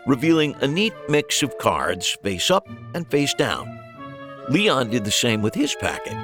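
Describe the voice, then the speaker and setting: A man narrates a first-person story in a low, gravelly voice with animated intonation. The sound is dry and close. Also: no room sound. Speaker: audiobook narrator.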